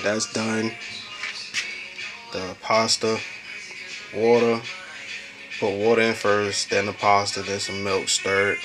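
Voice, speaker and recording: A young American man chatting casually as a home cooking vlogger, with a slangy, offhand way of talking. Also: on the low side. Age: young adult. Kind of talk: casual chat while demonstrating cooking. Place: small home kitchen, indoors. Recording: clearly noisy amateur camera recording.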